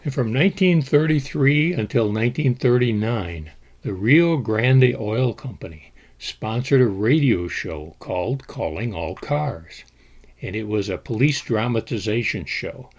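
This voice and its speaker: A man telling the history of an old board game in a measured storytelling way, with an occasional "uh" as he goes. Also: mid-range voice, lively intonation. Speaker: board game collector presenting. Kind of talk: scripted history narration.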